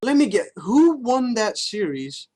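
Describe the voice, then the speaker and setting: A man's voice: very high-pitched, big pitch swings, a little slow, a little mellow. A man co-hosting a casual gaming show, rambling loosely with crude, teasing swearing. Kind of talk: casual chat.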